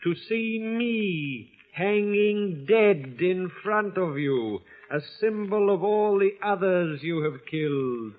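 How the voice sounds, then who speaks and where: High-pitched, solemn avenger on old radio. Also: male voice, lively intonation, slow, few pauses. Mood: ominous. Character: vengeful resistance fighter posing as a hanged ghost. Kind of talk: 1940s radio horror acting. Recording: tinny old radio broadcast.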